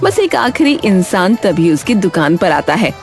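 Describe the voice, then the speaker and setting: A woman's voice: medium pitch, sing-song, measured pace, soft background music. A woman narrator reading a scripted cartoon story.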